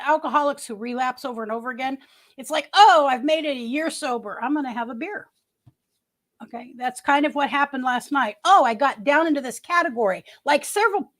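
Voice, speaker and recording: A woman in her early sixties hosting a casual livestream from home, chatting and reading viewer comments in a rambling way with many restarts. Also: high voice. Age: 60s. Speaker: livestream host.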